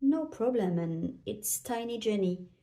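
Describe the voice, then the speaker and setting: A woman's voice: medium pitch, expressive, a little slow, mellow. A woman voicing a tiny, feisty, naive young fairy girl with an eager, exclamatory manner in a storybook. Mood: defiant.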